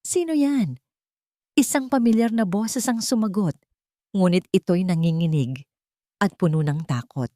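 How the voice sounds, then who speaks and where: A woman's voice: on the low side, normal speed, dark tone, dry recording. A woman reading a scripted dramatic story as its narrator.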